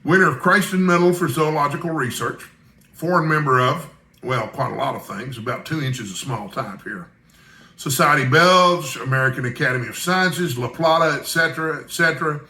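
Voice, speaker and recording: Man voicing a wry, kindly news editor, bright. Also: a little high, moderate pace, roomy sound. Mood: brisk, clipped. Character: kindly, shrewd older Scottish newspaper news editor.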